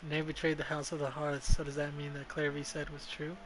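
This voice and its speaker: Excitable male game streamer, bright voice. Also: a little high, brisk, slight background noise, poor audio. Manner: excitable, slangy, profane, dramatic pleading. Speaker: gaming streamer. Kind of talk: live game reaction commentary.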